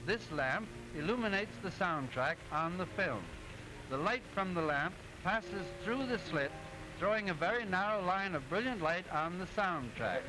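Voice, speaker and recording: High-voiced cartoon professor. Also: male voice, animated, noisy background. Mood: explaining. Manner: didactic, precise, measured explaining. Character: genial male professor explaining machines in a cartoon. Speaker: narrator, lecturer. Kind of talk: 1920s educational cartoon narration. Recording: old 1920s film soundtrack, music behind.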